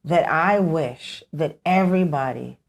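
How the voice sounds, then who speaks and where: A woman's voice: sits low, unhurried, vocal fry. An American woman in her fifties talking emphatically in a conversational interview, as a guest with strong opinions. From Pittsburgh, United States. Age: 50s. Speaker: podcast guest, teacher.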